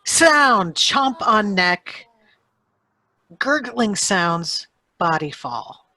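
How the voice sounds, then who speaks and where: A woman reading sound effect cues aloud for a radio play in deliberate, clipped phrases. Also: medium pitch, very animated, bright, forceful tone, rough recording. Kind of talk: spoken stage directions, radio play.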